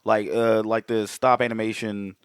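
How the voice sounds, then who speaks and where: A man's voice: on the low side, little pitch movement, moderate pace, creaky, clear, resonant tone, no echo, clean studio sound. A young man co-hosting a podcast, rambling in casual chat with many restarts. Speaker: podcast co-host.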